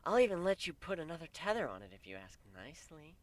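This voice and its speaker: A man voicing a playful, clingy, easily flustered female pegasus pony in a story, softly and breathily. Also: on the high side, sing-song, quick pace, no room sound, voice pushed up.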